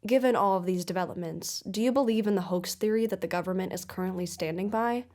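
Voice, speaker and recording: A woman's voice: mid-range voice, quick pace, a little mellow, close to an everyday voice. A woman playing a probing investigative mystery show host in an audio drama, speaking in a polished, formal, inquisitive manner. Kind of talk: audio-drama broadcast interview and narration.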